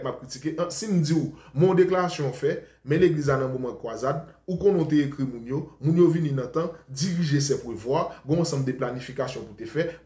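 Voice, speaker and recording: A Haitian man speaks to his followers in a loose, rambling preaching style, defending himself and circling back over the same points. His voice sits in a middle pitch. The sound carries a roomy echo. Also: mellow. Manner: rambling, defensive, switches between Creole and French. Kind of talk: informal preaching talk to followers.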